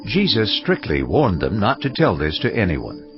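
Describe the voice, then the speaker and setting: An actor playing a solemn narrator, reading scripture aloud in a dramatized style. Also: male voice, on the low side, wildly swooping pitch, normal speed. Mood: solemn storytelling. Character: solemn male chapter narrator. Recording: dull sound with music behind. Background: music.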